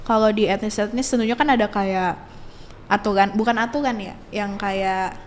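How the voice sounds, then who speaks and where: A woman's voice: medium pitch, fairly even intonation, normal speed, a little hiss or hum. A teenage girl talking casually as a guest on a school podcast, chatty, with many fillers and frequent laughter. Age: teens.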